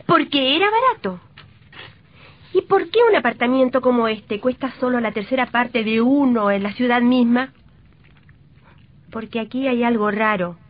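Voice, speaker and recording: A woman's voice: fairly high pitch, normal speed, pauses often, lightly acted. A woman playing an anxious, suspicious, insistent wife in a vintage radio drama. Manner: fretful, insistent. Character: suspicious, anxious, nagging wife convinced of danger. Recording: dull lo-fi old radio recording.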